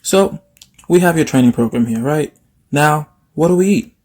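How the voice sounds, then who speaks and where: A man pitching his services as a personal trainer and nutrition coach in a direct promotional style. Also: lively intonation, moderate pace, darkish tone.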